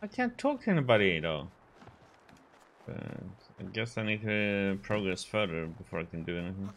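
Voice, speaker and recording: A man's voice: fairly low pitch, very animated, normal speed, a bit bright, a little hiss or hum. A man from Sweden, casually commenting on a video game as he plays and muttering to himself and to the characters on screen. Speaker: game streamer.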